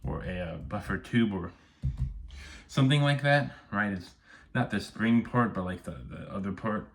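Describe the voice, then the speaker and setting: A man's voice: on the low side, moderate pace. A man vlogging casually from a messy home office room with no external microphone, rambling and checking in with "okay?" after many points. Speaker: firearms YouTuber. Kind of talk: casual vlog talk to camera. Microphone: no external mic, camera audio.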